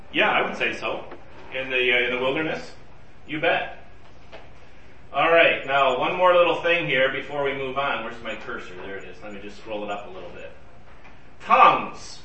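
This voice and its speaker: A man's voice: mid-range voice, moderate pace, long pauses, sharp tone, a little hiss or hum. A man teaching a Bible study class in a conversational way, asking his group questions. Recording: roomy and distant from the mic.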